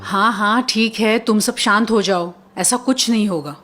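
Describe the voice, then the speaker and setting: A woman's voice: mid-range voice, a little slow, fairly bright tone, soft background music, close to an everyday voice. A woman voicing a kind, motherly middle-aged mother-in-law who soothes and reasons in a cartoon story. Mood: soothing. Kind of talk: Hindi cartoon story acting.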